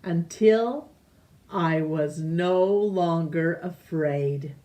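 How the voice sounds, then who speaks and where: Very slow-paced woman reading a storybook. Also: lowish voice, warm tone. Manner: slow, deliberate, pausing between phrases. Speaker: storytime reader. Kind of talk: children's picture book read-aloud.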